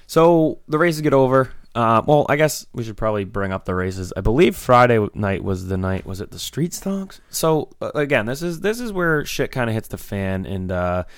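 Slightly raspy young man telling a rambling story. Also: mid-range voice, normal speed, few pauses, dry recording, studio quality. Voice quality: a little raspy. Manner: rambling, animated storytelling with frequent fillers. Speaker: podcast co-host. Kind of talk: casual storytelling chat.

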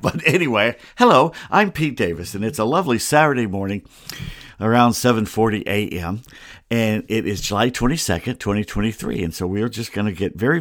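A man's voice: mid-range voice, a bit bright, no room sound, clean studio sound. An American man hosting a solo sports show in a casual, grumbling and wry way, with rambling asides. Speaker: sports podcast host. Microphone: close computer microphone.

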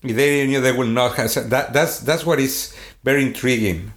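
A man with a Swedish accent in a casual podcast conversation, talking in a halting way with many restarts and fillers. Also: mid-range voice. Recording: echoey room.

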